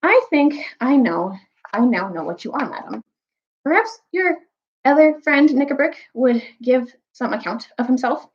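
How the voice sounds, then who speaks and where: A woman's voice: high voice, expressive, unhurried, lo-fi sound, voice pushed up. A woman voicing a learned male doctor in a storybook, formal, polite and wary. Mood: courteous, wary.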